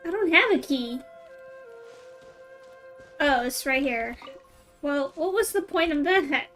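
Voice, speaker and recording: A woman's voice: high-pitched, lively intonation, slow, fairly bright tone, faint music behind. A woman streamer commenting casually while playing a game, with chatty, wry asides. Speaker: gaming streamer.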